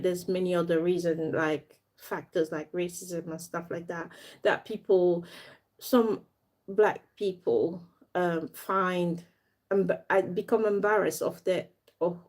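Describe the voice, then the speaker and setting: A woman's voice: fairly low pitch, darkish tone. A young woman from Africa, a doll maker and fashion designer, chatting casually on a live stream, rambling and thanking viewers as she goes.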